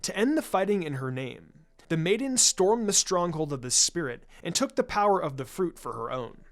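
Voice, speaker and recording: A narrator reading a scripted story in an expressive, dramatic way, drawing out phrases for effect. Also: medium pitch, moderate pace. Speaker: fan fiction narrator.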